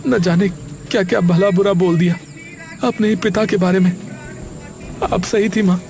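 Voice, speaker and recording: A man playing an earnest, devoted young village son in an animated story. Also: high-pitched, moderate pace, gravelly, soft background music. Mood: grieving, remorseful.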